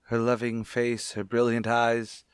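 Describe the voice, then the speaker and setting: A man acts a widowed father in an old-fashioned radio drama. He sounds anguished, self-pitying and theatrical, in a mid-pitched voice. The sound is close and dry. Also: completely flat delivery, a little slow, clear, resonant tone, no echo, natural voice. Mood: grieving, evasive. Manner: melodramatic, distraught. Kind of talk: old-time radio play acting.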